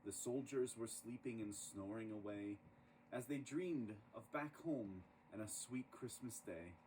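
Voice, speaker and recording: A man reading a rhyming children's story aloud in an expressive, dramatic storytelling manner. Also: on the low side, a little slow. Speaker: storybook reader. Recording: distant microphone with clear background noise.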